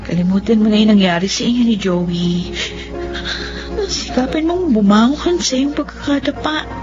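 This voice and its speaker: An actress playing a sympathetic, supportive friend in a Tagalog radio drama, earnest and consoling. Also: female voice, on the high side, moderate pace, lightly acted. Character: sympathetic young woman, supportive friend and godmother. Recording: dull lo-fi, music behind.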